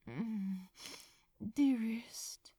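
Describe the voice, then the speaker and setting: A sleepy, tender man performed by a woman murmurs to his lover in an audiobook. The voice is a hushed, breathy whisper, affectionate and unhurried, recorded close and dry. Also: on the high side, lively intonation, no echo, heavily acted. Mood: drowsy. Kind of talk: audiobook character voice.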